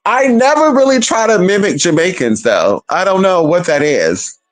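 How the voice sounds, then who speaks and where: A woman's voice: fairly low pitch, normal speed. A woman chatting casually on a livestream, sassy and profane, calling her viewers honey and girl. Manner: animated, talks straight to viewers.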